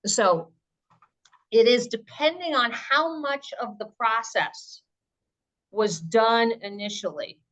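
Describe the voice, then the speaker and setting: A woman's voice: on the high side, takes it slowly, fairly bright tone, lo-fi sound. A woman presenter answering questions in an informal webinar, explaining things step by step and thinking aloud.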